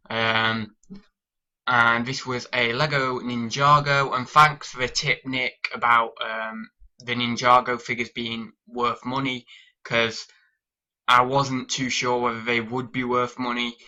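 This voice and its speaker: British guy w a cold, sniffly, rambly ums, flat voice, kinda muffled recording. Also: mid-range voice. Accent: British English. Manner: rambling with many ums and restarts. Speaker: online reseller vlogger. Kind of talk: casual sales update vlog.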